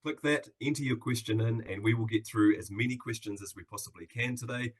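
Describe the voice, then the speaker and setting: A man's voice: medium pitch, brisk, husky. A man with a New Zealand accent hosting a live video-call Q&A in an upbeat, chatty way, with plenty of fillers. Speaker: webinar host.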